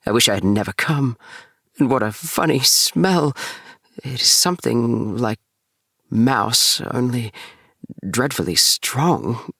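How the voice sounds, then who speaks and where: A man's voice: medium pitch, slow pace, pauses often, fairly bright tone, breathy edge, dry recording, clean studio sound, voice pushed up, heavily acted. A man voicing a timid young boy kitten for a storybook, plaintive, hesitant and bewildered. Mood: puzzled, uneasy. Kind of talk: storybook character voice.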